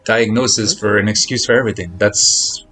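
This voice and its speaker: A man's voice: fairly low pitch, steady tone, normal speed, bright, forceful tone. A man chatting casually on a live game stream, speaking in a blunt, admonishing, repetitive way. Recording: noticeable background noise.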